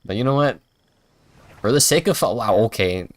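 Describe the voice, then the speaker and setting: A man's voice: mid-range voice, animated, moderate pace. A man giving casual, playful, bemused livestream commentary as he plays a game. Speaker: game streamer.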